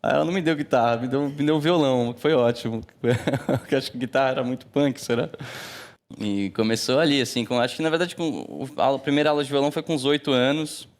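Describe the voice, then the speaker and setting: A man's voice: medium pitch, fast talker. A man in his thirties with a Brazilian accent, a musician talking casually as a TV guest, loose and filler-heavy. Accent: Brazilian Portuguese. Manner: relaxed, many fillers like "né" and "assim". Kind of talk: casual TV interview chat.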